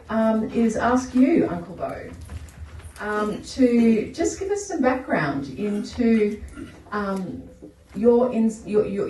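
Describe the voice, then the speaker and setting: A woman's voice: on the low side, warm tone. A woman moderating a seminar panel, polite and a little hesitant, with frequent fillers. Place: seminar hall. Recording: echoey room, distant mic, faint noise.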